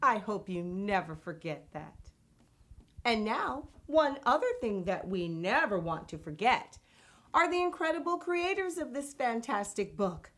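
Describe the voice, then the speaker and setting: Female children's storybook narrator. Slow, expressive delivery with a highly varied pitch, over a music bed. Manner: animated, deliberate, addressing young listeners. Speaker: children's read-aloud show host and narrator.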